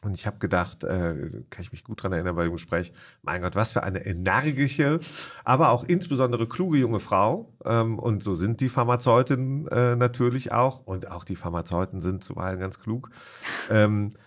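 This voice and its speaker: A man's voice: fairly low pitch, normal speed. A man hosting a podcast in a conversational interview style, with hesitant, rambling phrasing full of ums. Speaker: podcast host.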